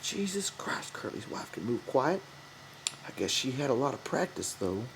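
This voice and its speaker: Man voicing a soft-spoken old ranch hand. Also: mid-range voice, big pitch swings, moderate pace, creaky, slight background noise, pitched above the performer's own voice. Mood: hushed. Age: older. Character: old ranch hand, quiet, cautious, eager to please. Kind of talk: audiobook character voice.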